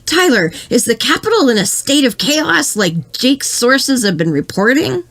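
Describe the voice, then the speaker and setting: A woman's voice: sits high, sing-song, measured pace, a bit bright, exaggerated voice. A woman playing a poised radio news anchor in a scripted satirical newscast, polished and inquiring.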